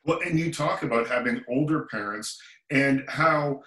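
A man from Nova Scotia hosts the interview, putting curious questions with halting fillers. His voice sits at a middle pitch and rings in a roomy, echoing space. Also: bright, forceful tone. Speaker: interviewer host. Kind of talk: casual interview. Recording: roomy echo.

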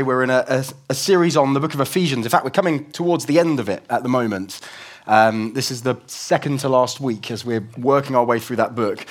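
A young man preaching a conversational, explanatory sermon in a church service, his voice croaky from a cold. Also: medium pitch. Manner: conversational, explanatory, with frequent restarts.

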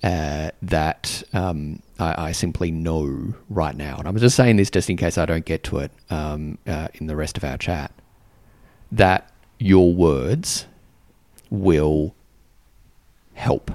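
A man hosting a podcast interview, speaking gently and haltingly with many fillers and restarts. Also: very deep voice, no echo. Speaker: podcast host. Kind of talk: casual interview. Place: Canberra.

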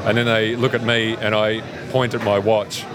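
A man's voice: lowish voice, flat delivery, moderate pace, crowd noise. A man who works on the show, telling dry, amused backstage anecdotes. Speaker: show crew member. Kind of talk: casual chat. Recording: loud music playing behind.

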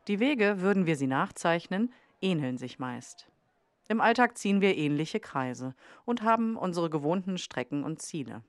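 A woman reading scripted podcast narration as the host. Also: lowish voice.

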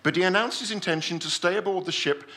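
A man playing a pompous, self-assured gentleman scientist telling his adventure in a theatrical story reading. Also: high voice, fast, pitched above the performer's own voice. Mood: self-assured, enthusiastic. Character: pompous, obsessive Victorian-style gentleman scientist recounting his deep-sea adventure.

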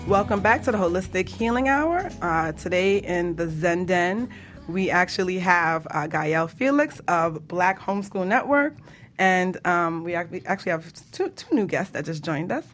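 A woman's voice: lowish voice, lively intonation, moderate pace, faint music behind. Casual woman hosting a radio show. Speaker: radio show host and life coach.

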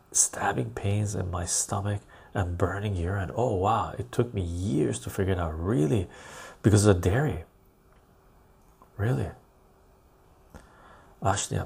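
A man's voice: lowish voice, creaky, a little mellow, breathy edge. A man whose first language is Armenian, hosting a casual livestream, reading viewer comments aloud with frequent chuckles and rambling asides. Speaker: livestream host. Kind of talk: casual live-stream chat.

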